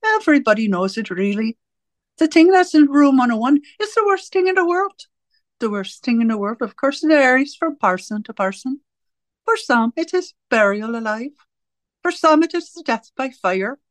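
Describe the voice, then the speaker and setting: A woman playing a menacing, domineering interrogator of a totalitarian regime in a radio drama, speaking in a deliberate, taunting way. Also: sits high, sing-song, dry recording. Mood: menacing calm.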